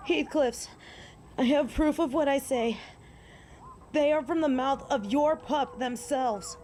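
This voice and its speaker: A woman's voice: sits high, takes it slowly, a little mellow, slight background noise. A woman playing a proud, honorable female werewolf in an audio drama, speaking in formal, old-fashioned, solemn phrases. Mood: desperate pleading.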